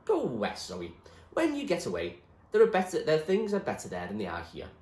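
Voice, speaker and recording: A man performs a stubborn, idealistic dreamer in a storybook tale. He speaks earnestly and firmly, in a raised pitch with big swings, in an echoey room. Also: wildly swooping pitch, fairly quick, darkish tone. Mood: dreamy urging. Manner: earnest, firm, insistent. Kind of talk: acted storybook dialogue.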